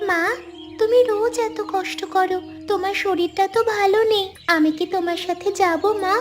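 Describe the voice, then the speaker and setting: A woman's voice: extremely high pitch, steady tone, moderate pace. A woman voicing an innocent, devoted poor little village girl in a melodramatic cartoon, with a childlike, earnest, pleading way of talking. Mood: caring, hopeful. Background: loud music.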